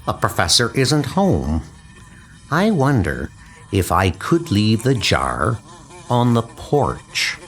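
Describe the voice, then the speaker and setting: A man's voice: lowish voice, wildly swooping pitch, slow, voice pushed down, heavily acted. A man voicing an earnest, polite schoolboy insect collector in a comic book dub, speaking precisely. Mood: musing.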